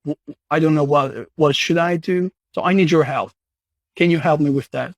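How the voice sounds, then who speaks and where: A man's voice: fairly high pitch, normal speed, dry recording. A seventeen-year-old boy from Turkey, hesitantly asking for advice in a voice message, often repeating words. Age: teens. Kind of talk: recorded voice message.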